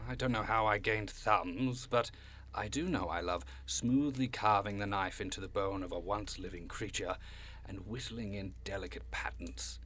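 A man's voice: fairly low pitch, moderate pace, fairly bright tone, slight background noise, close to an everyday voice. A man playing a proud, refined old monster in an audio drama, speaking formally and with condescension. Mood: savouring, macabre. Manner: formal, condescending, stern when offended, savours words. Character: proud, refined old cryptid creature, macabre bone-carving artist.

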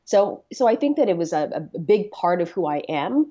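A middle-aged woman from Canada who talks in a low, earnest voice. She often hesitates and repeats words as she reflects on her story. The sound is slightly muffled and lo-fi. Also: very animated, moderate pace, dark tone. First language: Swahili. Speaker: interview guest. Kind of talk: reflective conversational interview.

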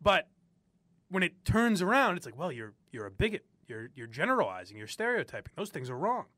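A man's voice: a little high, big pitch swings, fairly quick, bright, forceful tone, no room sound. A young man from the area, hosting opinionated talk radio and ranting with frequent laughs. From Boston area. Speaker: sports radio host.

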